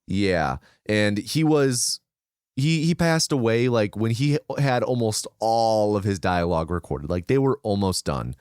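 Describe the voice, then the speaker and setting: A man's voice: on the low side, expressive, measured pace, darkish tone, clean tone, dry recording, clean studio sound. A man co-hosting a casual film podcast, relaying researched behind-the-scenes trivia with frequent fillers and restarts. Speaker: podcast co-host.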